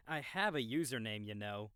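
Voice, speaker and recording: A man's voice: medium pitch, sharp tone, smooth, dry recording, studio quality. A man playing an easygoing young experienced game player who explains the world to a newbie in a casual, knowing way, in an audiobook. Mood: casual, amused. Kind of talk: audiobook character acting, explanatory dialogue.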